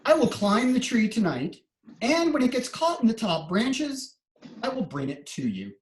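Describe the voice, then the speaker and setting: A woman playing a kindly, clever male court jester in a storybook readers theater, with playful, drawn-out phrasing. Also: a little high, very animated. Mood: gentle, reassuring. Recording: roomy echo, some background noise.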